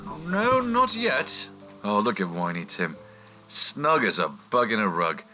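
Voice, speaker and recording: A man acts a nervous, fawning family man in a comic radio play. His sharp, very bright voice leaps wildly in pitch as he jitters eagerly, with faint music behind. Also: very animated, a little slow, full character voice. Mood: anxious politeness. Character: Bob, nervous obsequious clerk and doting father.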